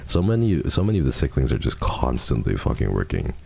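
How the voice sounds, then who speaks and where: Very deep, gravelly male streamer. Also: big pitch swings, fast talker, a little mellow. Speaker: livestream host. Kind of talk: casual livestream chat, reading and answering viewer messages. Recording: faint background noise.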